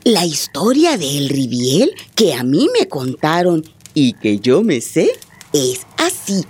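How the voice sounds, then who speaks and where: A woman playing an old grandmother, tender but firm, telling a tale dramatically in a radio drama with a husky voice. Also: low-pitched, huge pitch swings, darkish tone, exaggerated voice. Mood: suspenseful storytelling. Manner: unhurried, dramatic oral storytelling.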